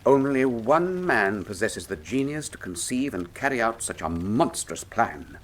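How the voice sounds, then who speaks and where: An actor playing a brilliant, self-assured Victorian detective in a radio mystery, with a wry, precise, formal manner. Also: male voice, on the low side, very animated, unhurried, slight background noise. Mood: grave, dramatic. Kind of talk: full-cast radio mystery drama acting.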